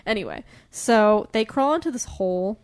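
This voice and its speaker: A woman speaks at a medium pitch as a podcast co-host. She talks casually and chattily about books, circling through fillers and asides while giving her opinions. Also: animated, moderate pace, smooth. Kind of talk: casual book-discussion banter.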